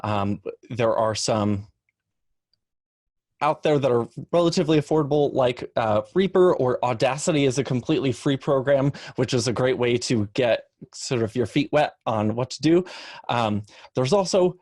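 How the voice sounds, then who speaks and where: A man's voice: mid-range voice, lively intonation, normal speed, a little mellow, dry recording, studio quality. A man speaking as an expert panelist, explaining things in a conversational way. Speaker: panelist, freelance podcast editor.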